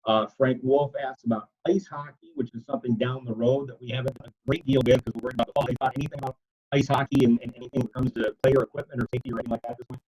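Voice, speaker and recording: A man's voice: mid-range voice, fairly even intonation, racing, darkish tone, husky. A man moderating a media conference call, casually and chattily relaying questions in loose wording, over lo-fi call audio. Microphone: video conference call.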